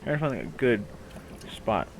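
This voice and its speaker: A man's voice: fairly low pitch, very animated, normal speed, creaky. Nasally guy rambling to his viewers, goofy, voice jumps around a lot, some noise behind him. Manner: playful, goofy, swearing. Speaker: streamer talking to viewers. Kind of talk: casual rambling chat to camera.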